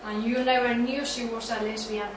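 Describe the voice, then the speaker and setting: Heard from a distance in a very echoey room, a woman plays a blunt, brash young guy in a sitcom script. The voice is slightly high. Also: little pitch movement, moderate pace, a little hiss or hum, close to an everyday voice. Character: blunt, brash, skirt-chasing young man, Joey. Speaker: student in an amateur dramatized reading. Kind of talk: amateur dramatized sitcom reading.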